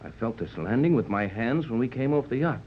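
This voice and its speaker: An actor playing a grave, commanding American sea captain who gives firm, deliberate orders in a 1930s radio adventure serial. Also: male voice, mid-range voice, animated, close to an everyday voice. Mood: tense, cautious. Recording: muffled, lightly noisy 1930s radio recording.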